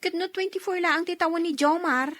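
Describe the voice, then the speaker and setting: A woman's voice: extremely high pitch, fairly quick, a bit bright, no echo, studio quality. A woman playing a modest, frank working woman in her thirties in a radio drama, with an Ilocano accent. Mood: doubtful. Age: 30s. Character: modest, hardworking 31-year-old woman, bashful but frank.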